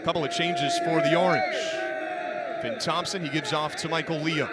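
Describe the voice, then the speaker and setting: Guy doing live sports play by play, pretty high voice, punchy, really loud game noise behind him. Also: a little slow, over a noisy crowd. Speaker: play-by-play announcer.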